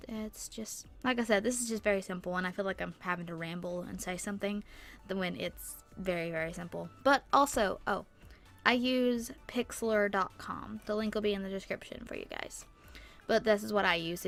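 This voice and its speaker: A young woman with a stuffy nose and sore throat, rambling chattily through a casual tutorial voice-over on a boom-arm mic. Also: gravelly, a bit bright. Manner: rambling, chatty, lots of fillers. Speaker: YouTuber. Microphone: microphone on a boom arm.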